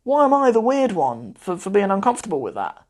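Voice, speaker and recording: A man, an Arabic teacher chatting casually through a live Q&A, meandering and often trailing off softly. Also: high-pitched, wildly swooping pitch, brisk. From Cornwall, England. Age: 20s. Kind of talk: casual livestream chat. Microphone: phone mic.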